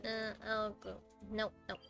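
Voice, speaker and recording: A woman's voice: medium pitch, little pitch movement, a little slow, creaky, over music. A woman doing casual live game commentary, reacting aloud in short muttered remarks. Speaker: game streamer.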